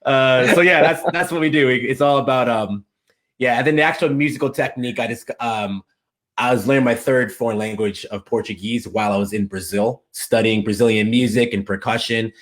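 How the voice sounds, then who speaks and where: A man's voice: medium pitch, a little fast. A man being interviewed as a guest, telling stories in a casual, enthusiastic way. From United States. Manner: animated, enthusiastic storyteller. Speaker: interview guest, language coach.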